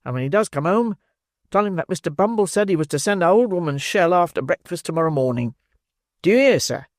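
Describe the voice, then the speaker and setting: A man's voice: high voice, expressive, fairly quick, no echo, clean studio sound, pitched above the performer's own voice. A man voicing a pompous, indignant older parish beadle in an audiobook reading. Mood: stern. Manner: pompous, blustering.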